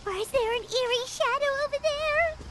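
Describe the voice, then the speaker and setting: A woman voicing a tiny, timid, excitable floating fairy companion in a video game, with a squeaky, childlike voice and exclamatory delivery. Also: normal speed, very noisy. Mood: hesitant, puzzled. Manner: speaks of herself in third person, exclamatory.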